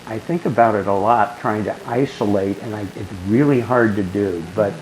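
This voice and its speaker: An older man with a low, growly bass voice, talking casually in a group and rambling through stories with many restarts. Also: normal speed, darkish tone, a little hiss or hum, low-quality recording. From Southern California. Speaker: guest being asked questions.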